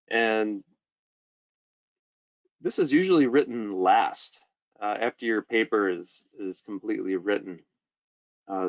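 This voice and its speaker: Low-voiced man presenting, dull webinar audio. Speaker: webinar presenter, journal editor. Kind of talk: informal lecture presentation.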